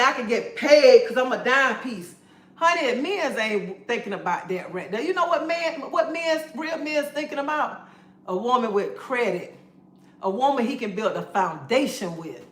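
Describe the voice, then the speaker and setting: A woman's voice: fairly high pitch, fairly bright tone, roomy sound. A woman giving a sassy, preachy pep talk on a casual livestream, calling listeners honey and baby.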